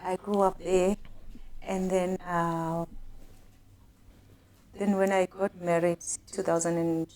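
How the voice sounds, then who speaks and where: A woman's voice: on the low side, flat delivery, slow, long pauses, a little mellow. Earnest South African woman telling her story. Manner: earnest storytelling, switches into emphatic bursts. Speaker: guest, guest house owner and coach. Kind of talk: conversational interview.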